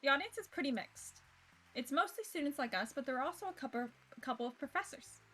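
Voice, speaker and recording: Expressive high-pitched woman streaming a game. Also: fast. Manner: expressive, self-interrupting, swears freely. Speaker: streamer playing a visual novel. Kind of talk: reading game narration aloud with casual reactions. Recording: game music behind the voice. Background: game music.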